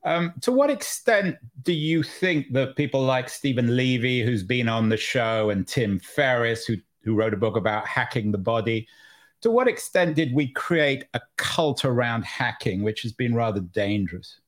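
Male interview host, medium pitch, conversational but halting, hesitant phrasing with frequent ums. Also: animated. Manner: hesitant, halting questions broken by many ums.

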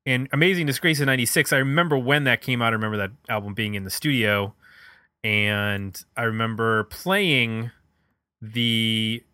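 Bright-voiced man hosting a casual podcast. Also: medium pitch, moderate pace, clean tone, no room sound, clean studio sound. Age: middle-aged. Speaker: podcast host. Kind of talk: casual music podcast chat.

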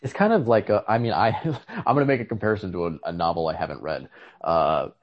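A man's voice: low-pitched, animated, fast talker, lo-fi sound. A man in casual book discussion, rambling tentatively and restarting his sentences often. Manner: tentative, rambling with many false starts. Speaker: podcast host discussing short stories.

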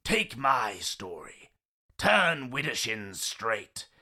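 An actor playing a crumbling old stone gargoyle in an audio play, grand and declamatory, boastful and grumbling. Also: male voice, mid-range voice, very animated, very slow, bright, forceful tone, heavily acted. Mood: grave, solemn. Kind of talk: theatrical audio play acting.

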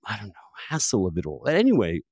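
A man hosting a conversational interview podcast, talking in an effusive, halting way and repeating words for emphasis. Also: on the low side, wildly swooping pitch, a little mellow, dry recording, clean studio sound. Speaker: podcast host. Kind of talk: conversational interview with long personal introduction.

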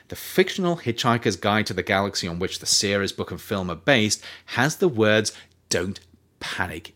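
A man reading his own non-fiction book aloud as scripted narration, with measured, emphatic phrasing and pointed pauses. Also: fairly low pitch, fairly bright tone.

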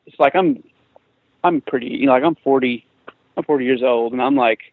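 A man's voice: mid-range voice, fairly quick, no echo. A man in his forties, a guest musician talking casually in an interview, rambling with many restarts and fillers. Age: 40s. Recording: tinny phone-like remote line.

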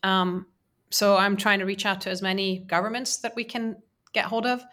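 A woman's voice: medium pitch, little pitch movement, normal speed, fairly bright tone. A woman whose first language is Persian, answering interview questions earnestly, with many fillers and restarts. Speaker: interviewee, women's peace advocate.

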